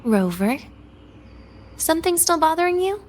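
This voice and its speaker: A woman's voice: very high voice, big pitch swings, moderate pace. An actress playing a guarded, world-weary young woman student in a video game, wry and resigned. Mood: melancholy, guarded. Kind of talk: English video game voice acting.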